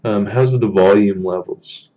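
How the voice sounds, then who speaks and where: Young guy w a deep low voice live streaming from his room, lots of ums, kinda flat, dull lo-fi audio. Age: young adult. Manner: halting, many ums and uhs, reading chat aloud. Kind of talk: casual live stream chat. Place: small room indoors.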